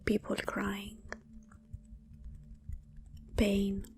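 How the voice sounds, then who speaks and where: Woman, breathy whisper, creaky edges, slow hushed guided meditation, long pauses, some background ambience. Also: mid-range voice, monotone, slight background noise. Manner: hushed, soothing, drawn-out phrases with long pauses. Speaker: ASMR channel host and meditation guide.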